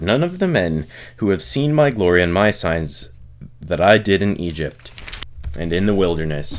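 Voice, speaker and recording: Low-voiced man reading scripture aloud. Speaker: reader of a Bible audiobook series. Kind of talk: scripture read aloud, expressive narration.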